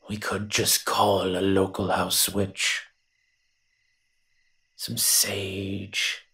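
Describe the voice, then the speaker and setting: A man voicing a grumbling, reluctant invisible monster with a secret soft heart in an audio roleplay, dry and sardonic. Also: on the low side, heavily acted. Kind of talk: scripted character monologue, audio roleplay.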